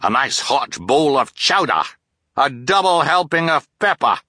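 A man voicing a blustering, excitable ship captain who shouts and tacks "aye" onto everything. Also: mid-range voice, measured pace, husky, dry recording, clean studio sound, pitched above the performer's own voice, exaggerated voice. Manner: loud, exclaiming, tacks on "aye" constantly.

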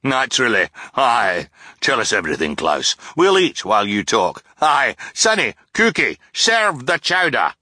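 A man voicing a blustering, excitable ship captain who shouts and tacks "aye" onto everything. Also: medium pitch, a little slow, no echo, pitched above the performer's own voice, heavily acted. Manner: loud, exclaiming, tacks on "aye" constantly.

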